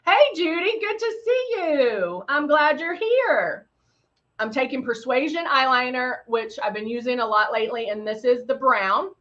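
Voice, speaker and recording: A woman's voice: high voice, sing-song, measured pace, a bit bright. A middle-aged woman with a Texan country accent, chatting upbeat through a live makeup tutorial and greeting viewers as she works. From Texas. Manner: chatty and upbeat, talks while applying makeup, playful sound effects. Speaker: makeup brand host. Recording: dull lo-fi livestream audio.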